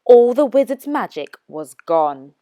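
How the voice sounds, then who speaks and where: Woman, children's storybook narrator, slow careful phrasing, very lively intonation, expressive. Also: on the high side, dry recording. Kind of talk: scripted storybook read-aloud for young children.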